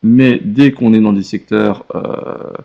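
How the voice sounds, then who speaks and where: A man giving an explanatory webinar presentation in a hesitant, halting way, often rephrasing, over a web conference connection. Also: fairly low pitch, steady tone, vocal fry. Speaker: webinar presenter, legal expert. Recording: dull lo-fi web conference audio.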